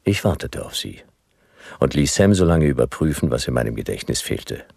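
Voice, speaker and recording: A man's voice: a bass voice, big pitch swings, normal speed, darkish tone, dry recording, clean studio sound, exaggerated voice. A man playing a world-weary private detective in a noir radio drama, narrating in clipped, laconic, wry phrases. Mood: wry narration. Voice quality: gravelly.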